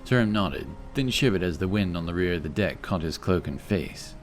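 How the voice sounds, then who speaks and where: A man's voice: sits low, lively intonation, moderate pace, faint music behind. A man reading a scripted fantasy story as an audiobook narrator.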